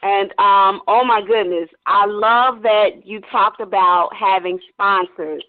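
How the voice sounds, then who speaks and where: A woman's voice: mid-range voice, steady tone, slow, no room sound. Enthusiastic woman caller, dry phone-line sound. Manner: enthusiastic, affectionate.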